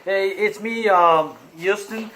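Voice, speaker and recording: A man's voice: high-pitched, takes it slowly, pushed and bright, rough recording. A man improvising prank phone calls, play-acting with a hesitant, stammering delivery. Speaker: prank caller.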